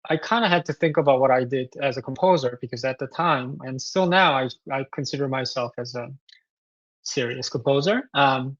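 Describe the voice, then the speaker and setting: A composer from South Korea with a Korean accent, answering interview questions thoughtfully with many fillers and restarts. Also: male voice, mid-range voice, normal speed, a bit bright, no room sound, dull audio. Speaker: guest composer.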